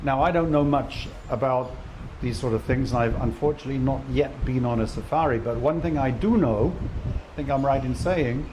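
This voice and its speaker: A man's voice: medium pitch, normal speed, background noise. Rambling man interviewing on a beach. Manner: rambling, self-correcting, friendly questions. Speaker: interviewer and channel host. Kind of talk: casual outdoor interview. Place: beach outdoors.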